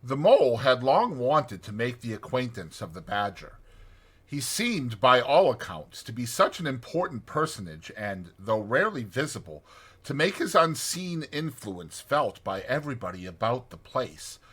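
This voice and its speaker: A man narrating a classic storybook in an expressive, theatrical audiobook style. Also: mid-range voice, normal speed, slightly rough.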